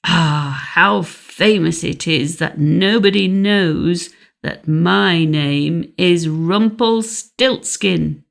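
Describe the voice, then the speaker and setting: A woman's voice: fairly low pitch, very slow, creaky, exaggerated voice. Woman voicing a taunting, cunning little male dwarf. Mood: gleeful. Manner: exclaiming, taunting. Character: gleeful, cunning little male dwarf who turns furious. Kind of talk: fairy tale audiobook character.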